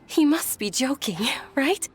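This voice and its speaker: Timid young noblewoman, polite, nervous, highish voice, animated pitch, video game acting. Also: measured pace. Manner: hesitant, nervous, stammering.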